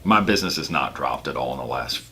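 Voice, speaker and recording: A man's voice: rumbling low, animated, quick pace, background noise. A man working as a real estate broker, explaining his work in a casual, emphatic, conversational way. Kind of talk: casual explanatory talk about own work.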